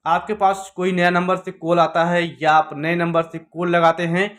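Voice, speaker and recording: High-pitched man giving instructions. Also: flat delivery, normal speed, fairly bright tone. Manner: repetitive, instructional phrasing. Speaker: tech tutorial presenter. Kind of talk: step-by-step phone tutorial narration.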